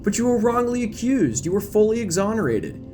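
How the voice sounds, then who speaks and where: A man's voice: high-pitched, sing-song, fairly quick, over music, pitched above the performer's own voice. A man voicing a polished, probing television interviewer with an eager, formal manner in an audio drama.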